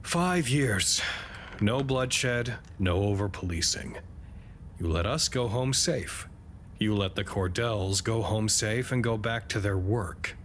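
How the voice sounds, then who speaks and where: A man acting in an audio drama as a resolute, hopeful leader making a formal, measured, earnest address. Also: fairly low pitch, expressive, a bit bright, a little hiss or hum. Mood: firm. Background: music.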